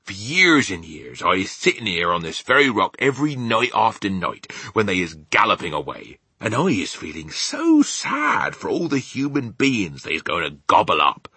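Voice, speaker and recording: A friendly, sorrowful giant for a storybook. His voice is husky and very animated, with bright force behind it. He speaks in homely, muddled grammar with made-up words. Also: fairly low pitch, normal speed, hardly any pauses, no room sound, pitched above the performer's own voice, full character voice. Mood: sad, resigned.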